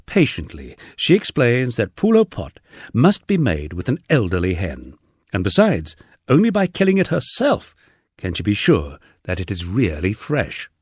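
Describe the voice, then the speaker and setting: A man with a husky voice reading a wry first-person memoir as scripted audiobook narration, dryly humorous and wordy. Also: fairly low pitch, huge pitch swings, normal speed, darkish tone, no room sound, studio quality.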